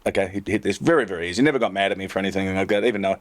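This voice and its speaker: A man with a very low voice tells stories from years of caddying on tour. He talks fast, restarts his sentences, and pokes fun at himself. It sounds like a relaxed podcast conversation. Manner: rambling, self-deprecating, laughs through anecdotes. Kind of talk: casual podcast storytelling.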